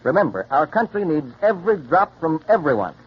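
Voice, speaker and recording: A man's voice: on the high side, big pitch swings, brisk, husky. A male radio announcer reading a scripted 1940s public service announcement in a persuasive, instructive way. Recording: dull old radio recording.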